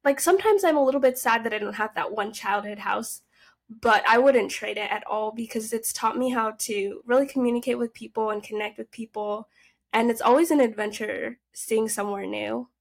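A nineteen-year-old woman who grew up in the United States talks as a podcast guest. Her voice sits a little high. She tells her stories in a chatty, upbeat way. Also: normal speed, vocal fry. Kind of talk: casual interview.

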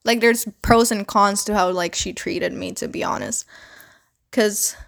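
A woman's voice: medium pitch, unhurried, vocal fry, a bit bright. A young woman from the Philippines who speaks with a Canadian accent, talking alone in a casual podcast monologue with lots of "like" and "you know" fillers and offhand swearing. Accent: Canadian English. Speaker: podcast host.

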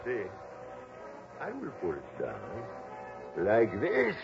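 A man's voice: fairly low pitch, huge pitch swings, slow pace, vocal fry, over loud music, full character voice. An actor playing a menacing Mexican gunman in an old radio drama, speaking formal, clipped English with a Mexican accent. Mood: threatening. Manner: formal, clipped phrasing, threatening. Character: menacing Mexican henchman holding a gun. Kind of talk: 1960s radio drama acting. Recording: muffled, lo-fi old radio recording.

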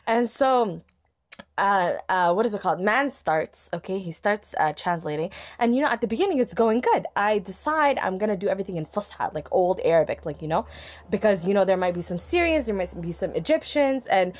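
A young woman tells a story from her own life in a loose podcast chat. She is animated and self-mocking, and she often laughs mid-sentence. Her voice sits at an ordinary mid pitch. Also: a little fast. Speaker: podcast guest.

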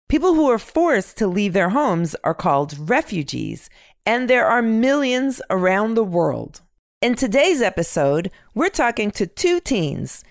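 A woman hosting a news podcast, reading a scripted, upbeat narration with clear diction. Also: medium pitch, dry recording, studio quality.

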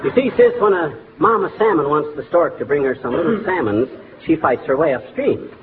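Man playing a blustery, high-pitched boastful schemer. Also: expressive, fairly quick, close to an everyday voice. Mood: sentimental, folksy. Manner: glib, folksy, self-important. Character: blustery, boastful middle-aged husband full of schemes. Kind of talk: 1940s radio comedy acting. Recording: tinny, noisy 1940s radio broadcast.

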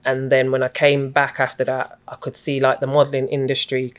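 A middle-aged woman, a guest in an interview, recounting her story in a reflective, even-toned, unhurried way. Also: a bass voice. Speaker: guest, community activist.

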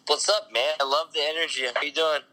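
A man's voice: on the high side, fairly even intonation, fast, bright, forceful tone. A man in his early twenties from Sweden, chatting casually as a podcast guest. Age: early 20s. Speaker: podcast guest, company founder. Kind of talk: casual interview chat.

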